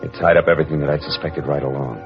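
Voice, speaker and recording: A hardboiled American detective from an old radio play. His voice is low and his delivery is sardonic, cynical and commanding. The recording is muffled and vintage, with music beneath him. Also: fairly even intonation, rapid-fire. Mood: tense narration. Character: tough wisecracking male private detective, cynical and commanding. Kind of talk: hardboiled 1940s-50s radio detective acting and narration.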